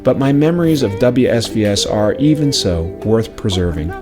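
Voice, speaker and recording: A man reading a scripted personal memoir in a measured, reflective storytelling way. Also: fairly low pitch, animated. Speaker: narrator of own memoir, former radio station worker. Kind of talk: scripted narration. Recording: music playing behind the voice. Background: plucked acoustic string music.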